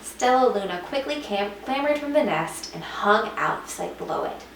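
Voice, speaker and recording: A woman reading a children's picture book aloud as a teacher would, with expressive, dramatic emphasis. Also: medium pitch, moderate pace, creaky. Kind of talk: expressive scripted storybook narration. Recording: echoey room, distant mic, faint noise.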